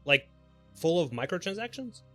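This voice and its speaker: A man's voice: a little high, very animated, normal speed, sharp tone. A man chatting casually and informally on a live gaming stream, speculating in a rambling way. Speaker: game livestreamer. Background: background music.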